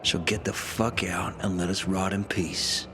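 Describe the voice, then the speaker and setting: A man acting a wisecracking, fast-talking scoundrel of a prisoner in a video game cutscene, joking and wheedling like a born storyteller. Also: deep voice, steady tone, noisy background. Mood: sardonic. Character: wisecracking male prisoner, fast-talking scoundrel who jokes through misery. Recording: music behind the voice.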